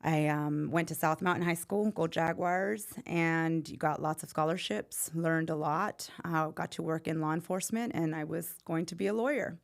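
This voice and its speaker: A woman's voice: low-pitched, fairly even intonation, moderate pace, gravelly, hardly any pauses. A woman in her forties from Phoenix, a realtor telling her life story in a casual interview, chatty and full of ums, dropping in Spanish words. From Phoenix, Arizona. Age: 40s. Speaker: realtor, podcast guest.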